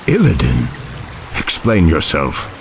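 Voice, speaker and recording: An actor playing a solemn, wise, ancient elf druid leader in a video game, speaking gravely. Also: male voice, extremely low pitch, huge pitch swings, takes it slowly, a little hiss or hum, full character voice. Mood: concerned, firm. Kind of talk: video game cinematic acting.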